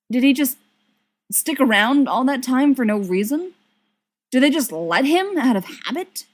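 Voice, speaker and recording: Female fiction reader, higher-pitched, expressive narration. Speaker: volunteer audiobook reader.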